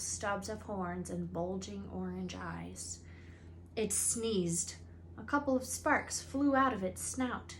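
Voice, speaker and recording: Expressive woman reading a story aloud. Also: mid-range voice, slight background noise. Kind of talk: storybook narration read aloud.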